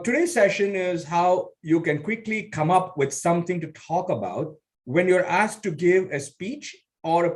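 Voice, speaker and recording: Man hosting an online workshop, playful and upbeat, very lively swooping pitch, video call audio. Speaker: workshop host and speaker.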